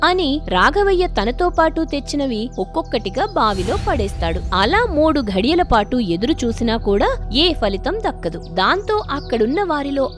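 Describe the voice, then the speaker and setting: Woman narrator, high voice, expressive melody, scripted children's storytelling. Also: normal speed, over music.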